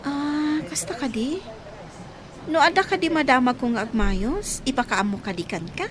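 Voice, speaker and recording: A woman's voice: high-pitched, normal speed, crowd noise, close to an everyday voice. A woman acting an inquisitive, eager young villager in an Ilocano radio comedy drama.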